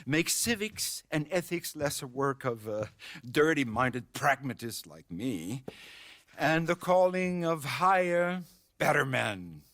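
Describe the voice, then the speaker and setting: An actor playing a sly, pompous older French politician in a video game, with a French accent and a drily ironic, theatrical delivery. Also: male voice, on the high side, sing-song, slow, a bit bright, full character voice. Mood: grandiose. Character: wry, pragmatic older French male politician, grandiose and sly. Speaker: mayor. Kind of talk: video game cutscene acting.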